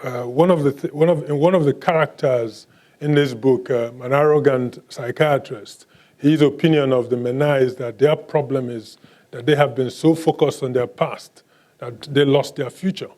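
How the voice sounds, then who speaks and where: A man whose first language is Igbo, answering questions at a book talk in a mellow, dark-toned voice. He speaks slowly and haltingly, with many restarts. Now and then he adds a bit of dry, self-mocking humor. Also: mid-range voice, steady tone. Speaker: author.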